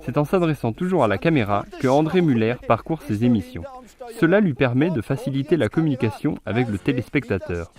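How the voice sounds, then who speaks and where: A man's voice: medium pitch, a little fast. Young man reading a voice-over. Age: young adult. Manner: steady read delivery. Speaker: narrator. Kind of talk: scripted news voice-over.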